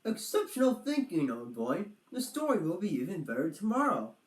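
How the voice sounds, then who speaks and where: A woman's voice: lowish voice, big pitch swings, moderate pace, echoey room, far from the mic, voice pushed up, low-quality recording. A woman voicing a confident, chummy male storybook turtle with theatrical, old-fashioned explorer pomp. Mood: grand.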